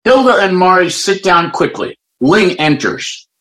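A narrator reads stage directions for an audio play in a scripted manner. The voice sits at a medium pitch and moves up and down in a lively way. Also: unhurried, heavy background noise.

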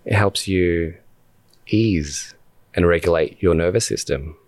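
A man leading a guided meditation as a podcast host, speaking calmly and deliberately in short phrases with long pauses. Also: deep voice, no echo.

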